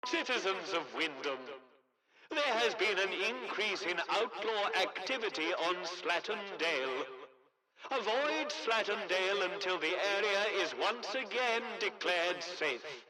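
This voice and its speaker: A man playing an authoritarian military announcer in a video game, formal, clipped and politely menacing over a village loudspeaker. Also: high voice, expressive, a little slow, crowd noise, low-quality recording. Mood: stern, official. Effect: village loudspeaker, radio-like PA. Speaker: public address announcer. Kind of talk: scripted loudspeaker propaganda announcements.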